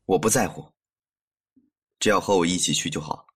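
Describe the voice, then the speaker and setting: A man playing a gentle, intimate young husband with an obsessive streak in an audio drama. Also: low-pitched, moderate pace, slightly rough. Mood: earnest, tender. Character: soft-spoken, obsessive young husband fixated on dolls. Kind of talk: Mandarin audio drama acting.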